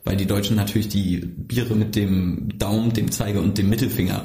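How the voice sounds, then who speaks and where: Deep-voiced easygoing man in a roomy space. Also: steady tone, fairly quick, warm tone. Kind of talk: casual podcast conversation.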